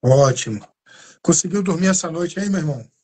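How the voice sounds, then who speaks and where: A Brazilian man hosts a live video stream, talking in a loose, rambling way and greeting viewers as he goes. His voice is slightly husky and sits a little high. It sounds like a home computer mic. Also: expressive, fairly quick, warm tone. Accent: Brazilian Portuguese. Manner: casual, thanks viewers by name, rambling restarts. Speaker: channel host. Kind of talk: live stream interview chat.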